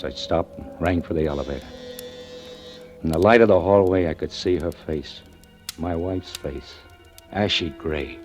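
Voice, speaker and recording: An actor playing a tormented fire inspector who narrates in a tense, halting way in a 1940s radio drama. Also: male voice, sits low, darkish tone. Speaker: narrator and lead. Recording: old 1940s radio broadcast with music.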